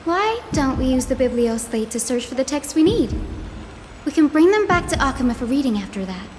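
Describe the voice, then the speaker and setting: A woman voicing an inquisitive young adventurer in a fantasy video game, with an expressive, conversational, playful delivery. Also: sits high, fairly quick, background noise. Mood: practical. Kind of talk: video game character dialogue and storytelling. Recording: studio voice over game music. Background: game music.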